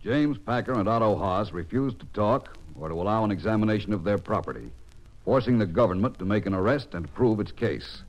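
An actor playing a sober, official narrator of an old-time radio crime drama, speaking formally with an American accent. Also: male voice, lowish voice, expressive, fairly quick, soft background music, lightly acted. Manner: formal, authoritative. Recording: dull vintage radio recording.

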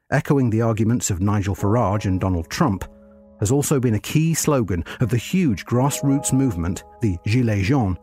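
A man narrating a scripted history article in a measured, formal read-aloud delivery. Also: fairly low pitch, dark tone.

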